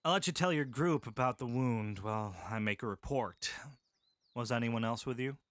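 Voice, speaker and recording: A man voicing a calm, caring male medic soldier who speaks steadily, seriously and with compassion. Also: mid-range voice, moderate pace, no room sound, natural voice. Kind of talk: full-cast audiobook acting.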